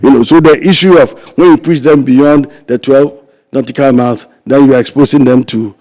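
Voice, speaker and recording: A man's voice: steady tone, fairly quick. An older Ghanaian man with a Ghanaian English accent, arguing emphatically as a guest in a studio interview. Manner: emphatic and argumentative, swinging between loud stresses and low asides. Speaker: fisheries sector guest.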